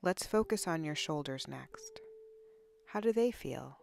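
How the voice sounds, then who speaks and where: A woman leading a guided body scan meditation in a soothing, unhurried, instructional way with long pauses. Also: deep voice, lively intonation, creaky, a little mellow, soft background music. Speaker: guided relaxation narrator, counselor.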